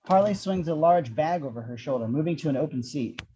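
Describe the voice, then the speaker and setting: A man's voice: on the high side, animated, a little fast, dark tone, a little hiss or hum. A man reading screenplay action descriptions with a wry manner, on a dull lo-fi microphone. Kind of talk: scripted screenplay narration.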